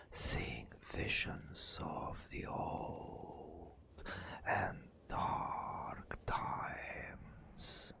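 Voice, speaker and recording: A man softly narrating a fantasy game adventure as ASMR, in a breathy whisper with hushed, dramatic phrasing and long pauses. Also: lowish voice. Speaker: ASMR gaming host. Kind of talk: soft-spoken ASMR storytelling over fantasy gameplay.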